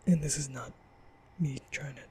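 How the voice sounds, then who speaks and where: A man playing a nervous, caring young vampire in an ASMR roleplay, speaking softly and hesitantly with stammers in a breathy whisper. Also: medium pitch, steady tone, moderate pace, slight background noise, natural voice. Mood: sheepish, confiding. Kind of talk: ASMR roleplay, soft comforting boyfriend audio.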